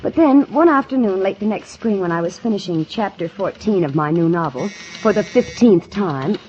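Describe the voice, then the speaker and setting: A woman's voice: mid-range voice, lively intonation, normal speed, darkish tone, slight background noise, lightly acted. An actress playing an earnest, ambitious young country woman in a 1940s radio drama, speaking in a dramatic, wistful and emphatic way. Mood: reflective. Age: young adult. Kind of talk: 1940s radio drama acting and first-person narration. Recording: muffled old 1940s radio recording.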